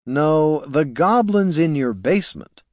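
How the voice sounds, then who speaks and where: A man voicing a pot-bellied mercenary warrior who speaks in a slow, deadpan, matter-of-fact way. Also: a little high, dry recording, studio quality, pitched above the performer's own voice. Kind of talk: audiobook character voice.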